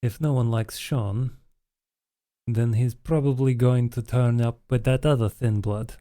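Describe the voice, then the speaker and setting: A man voicing a calculating, self-interested vampire in a tabletop roleplay, with a dry, deliberate delivery. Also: mid-range voice, fairly even intonation, warm tone, natural voice. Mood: skeptical. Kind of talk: actual play roleplay dialogue.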